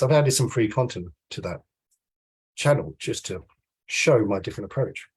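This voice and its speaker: A British man in his forties, congested with a cold, gives an informal, explanatory coaching talk on a video call, with frequent pauses and a husky voice. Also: fairly low pitch, darkish tone, rough recording. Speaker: swimming coach. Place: small room at home, indoors. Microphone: video call, computer mic.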